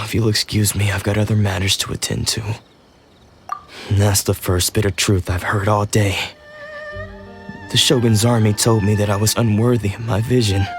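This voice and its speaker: An actor playing a weary, beleaguered samurai who protests defensively in a video game. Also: male voice, medium pitch, lightly acted. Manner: exasperated, protesting. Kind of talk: video game cutscene dialogue.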